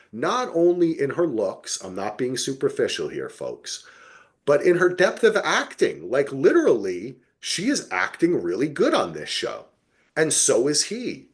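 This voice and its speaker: Animated man chatting about TV. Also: medium pitch. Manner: emphatic, playfully exaggerated storytelling. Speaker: podcast co-host. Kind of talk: casual TV review chat.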